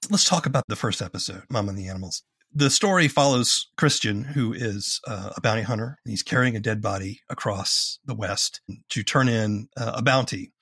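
A man's voice: fairly low pitch, moderate pace, fairly bright tone, breathy edge, dry recording, studio quality. A man hosting a podcast, asking questions in a conversational interview style.

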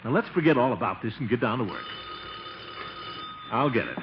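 An actor playing a flustered, pompous middle-aged uncle and water commissioner in a 1940s radio sitcom, blustering and exasperated. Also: male voice, medium pitch, sing-song, a little fast, close to an everyday voice. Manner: pompous, blustering, swooping exclamations. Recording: dull, noisy 1940s radio recording.

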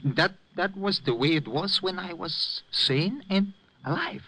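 An actor playing a weary, sly old immigrant father with halting, emphatic, foreign-accented speech in a 1950s radio drama. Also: sits high, bright, forceful tone, breathy edge, full character voice. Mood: weary, sorrowful. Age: older. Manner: rambling, emphatic, repeats words, prodding questions.

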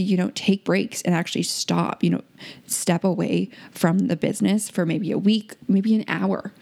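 A woman's voice: mid-range voice, gravelly, dark tone. A woman hosting a podcast interview, introducing her guest and asking questions in an upbeat, encouraging, conversational way. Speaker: podcast host.

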